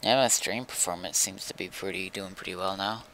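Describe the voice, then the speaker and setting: A man's voice: deep voice, fairly quick, creaky, pushed and bright, slight background noise, poor audio. A man streaming a game and chatting casually, with short, laid-back replies. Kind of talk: casual chat while gaming.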